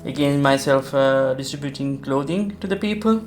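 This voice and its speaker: A middle-aged man from Sri Lanka, recalling his war memories in a hesitant documentary interview with many pauses and restarts. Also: mid-range voice. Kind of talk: documentary interview, personal recollection. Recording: echoey room with faint music.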